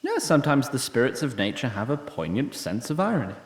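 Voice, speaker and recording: A man's voice: medium pitch, sing-song, fairly quick, slightly rough. A man narrating a scripted fantasy story in a wry storytelling manner.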